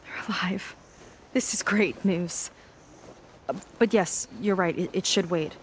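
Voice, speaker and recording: A woman's voice: fairly low pitch, a little slow, slight background noise, close to an everyday voice. An actress playing an earnest, guarded young seafaring woman in a video game cutscene, speaking conversationally. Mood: hopeful, urgent.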